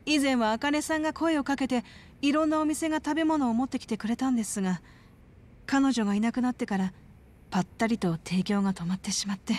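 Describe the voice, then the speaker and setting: A woman playing a gentle, devout caretaker at a religious children's home in a game, speaking politely and measuredly. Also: fairly high pitch, a little fast, natural voice. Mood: troubled. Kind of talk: scripted Japanese video game dub.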